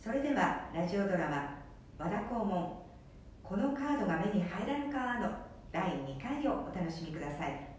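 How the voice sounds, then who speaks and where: A woman hosting a radio program, gently explaining and introducing the drama. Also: very animated, normal speed. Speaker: radio show host. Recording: echoey room, distant mic, faint rumble.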